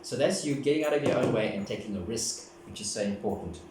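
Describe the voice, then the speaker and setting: A man from Africa, giving a casual, gentle, meandering tarot reading and often repeating himself. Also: mid-range voice, animated, fast. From South Africa. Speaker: tarot card reader. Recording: very echoey room, distant mic, background noise.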